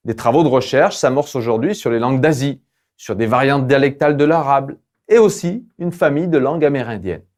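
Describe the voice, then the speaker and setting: A male lecturer with a mid-pitched voice narrates a scripted online lesson. His phrasing is formal and didactic. He moves through the material in a measured, explanatory way. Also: expressive, darkish tone.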